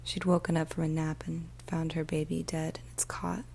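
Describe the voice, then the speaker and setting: A woman voicing a weary, troubled police emergency dispatcher in a soft, often whispered ASMR storytelling monologue, speaking slowly and deliberately. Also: low voice, flat delivery, vocal fry, slight background noise. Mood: subdued, weary. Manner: slow, hushed, deliberate, with pauses. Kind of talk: ASMR storytelling, first-person dramatic monologue.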